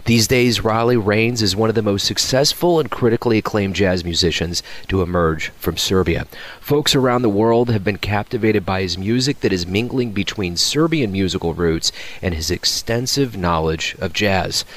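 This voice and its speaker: A man hosting a jazz radio show, reading scripted introductions in a smooth, unhurried announcer delivery from a studio. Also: fairly low pitch, a bit bright.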